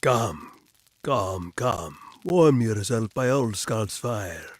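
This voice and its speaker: An actor playing a weathered, foreboding old hermit in a video game cutscene, with a raspy voice. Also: male voice, lowish voice, expressive, very slow, no room sound, heavily acted. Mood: beckoning. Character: weathered old man, cryptic doomsaying northern hermit.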